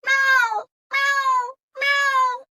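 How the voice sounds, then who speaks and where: A woman's voice: very high voice, little pitch movement, extremely slow, pushed and bright, dry recording, voice pushed up, exaggerated voice. A woman voicing a greedy, insistent cat with pleading meows in a picture-book read-aloud. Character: greedy fat cat demanding food, insistent meowing.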